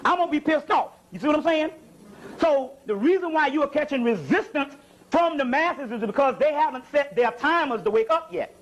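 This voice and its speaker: Really high voiced guy doing an impassioned spiritual lecture, talks casual, keeps checking you get it. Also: lively intonation, a little fast, low-quality recording. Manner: colloquial, punctuated with rhetorical check-in questions.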